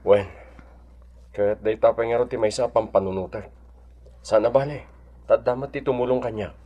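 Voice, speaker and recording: A man's voice: mid-range voice, fairly even intonation, moderate pace, muffled recording, lightly acted. A man acting an earnest, dutiful husband and family provider in a radio drama, sounding sincere. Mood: earnest, resolved.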